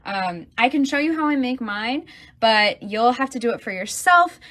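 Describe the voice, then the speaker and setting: A woman's voice: fairly high pitch, expressive, normal speed, fairly bright tone. Chatty woman teaching beadwork. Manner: chatty and enthusiastic, with fillers and asides. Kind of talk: casual livestream tutorial.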